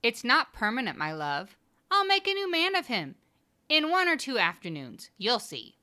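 Woman playing a coy, high-voiced sweet-talking lover. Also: huge pitch swings, normal speed, bright, forceful tone, exaggerated voice. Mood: coaxing, affectionate. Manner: coy, hesitant, pet names.

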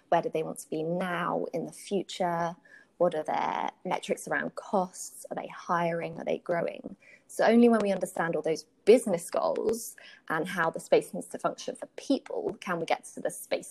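A woman's voice: lowish voice, moderate pace, gravelly, a little mellow. A woman with a British accent explaining her professional work in an articulate, conversational way as an interview guest. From United Kingdom. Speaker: podcast guest, workplace strategy consultant.

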